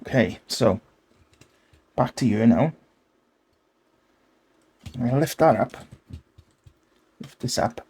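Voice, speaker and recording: Husky man muttering as he works. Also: medium pitch, expressive, slow pace, pauses often, a little mellow. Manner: muttering short remarks to himself. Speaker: repair video host. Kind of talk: casual hands-on narration while working.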